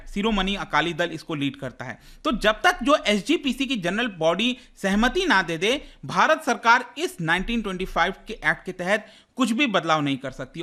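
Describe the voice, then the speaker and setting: A male teacher with a high-pitched, forceful voice. He explains in a conversational lecture style, restating his points and putting questions to the listener. English terms are mixed into his speech. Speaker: teacher presenting current affairs for exam aspirants.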